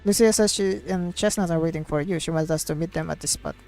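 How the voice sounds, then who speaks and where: A woman streaming a video game, chatting and reading dialogue aloud in an excitable, gushing way. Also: low voice, fast talker. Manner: gushing and excitable, exclaims and repeats herself. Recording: background game music. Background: game music.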